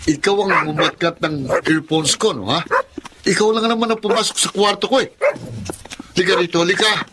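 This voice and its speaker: High-pitched scolding man, acted, loud noise behind. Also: moderate pace, close to an everyday voice. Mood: irritated. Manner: scolding, agitated. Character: exasperated, scolding older brother. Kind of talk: Filipino TV drama acting.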